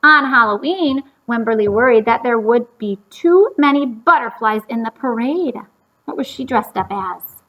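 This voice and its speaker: A woman reading a story aloud to young children, pausing to explain and ask them simple questions. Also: on the high side, expressive, dull audio.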